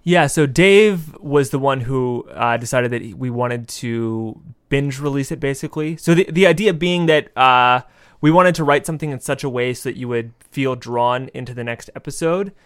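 A man's voice: medium pitch, normal speed, clean tone. A man speaking conversationally as an interview guest, explaining things informally. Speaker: interview guest, audio drama creator.

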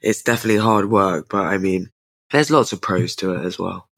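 A man's voice: low-pitched, fairly quick, vocal fry, fairly bright tone. A man hosting a podcast while he has a cold, joking with his guest and then reading a scripted sign-off. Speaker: podcast host. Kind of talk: casual interview and scripted outro.

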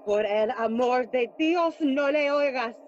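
A woman's voice: sits high, a little slow, a little hiss or hum. A woman playing a sorrowful, pleading queen and mother in a bilingual Shakespeare audio drama, tender, mixing Spanish and English. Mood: alarmed pleading. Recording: slightly dull sound with faint music. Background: faint music.

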